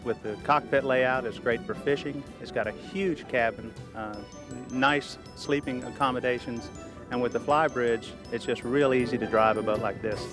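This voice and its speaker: Man, boat reviewer, mid pitch, enthusiastic, music behind. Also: fairly even intonation, moderate pace.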